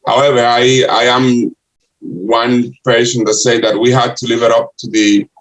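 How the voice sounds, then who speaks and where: An older man from the Republic, answering interview questions in halting English full of restarts. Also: medium pitch, little pitch movement, low-quality recording. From Dominican Republic. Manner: halting, many restarts and uh fillers. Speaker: guest, city councilor and candidate.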